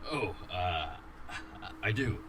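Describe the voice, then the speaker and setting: Very deep, timid dragon man. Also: expressive, bright, forceful tone, slight background noise, full character voice. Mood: hesitant, shy. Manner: hesitant, halting. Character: timid, awkward male dragon in human form. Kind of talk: visual novel voice acting.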